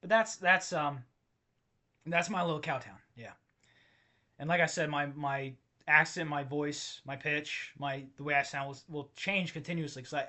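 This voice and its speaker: A man with a slightly high, bright, forceful voice rambles through a comedy podcast, tripping over his own sentences as he gets worked up. His voice sounds tired and strained from overuse. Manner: rambling, self-interrupting, excitable. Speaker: podcast host. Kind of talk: solo comedic rant podcast.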